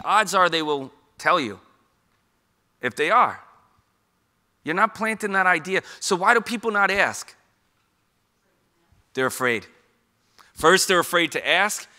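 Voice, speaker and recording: Middle-aged New York man, very bright and somewhat high voice, chatty and emphatic speaker. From Queens, New York. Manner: conversational and emphatic, with frequent rhetorical questions. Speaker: speaker at a suicide prevention talk. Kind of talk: informal public talk with slides.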